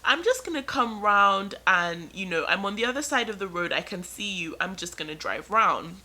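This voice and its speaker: A man's voice: high voice, animated, moderate pace, pushed and bright, exaggerated voice. A woman doing her worried male cousin. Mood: concerned. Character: concerned young male cousin.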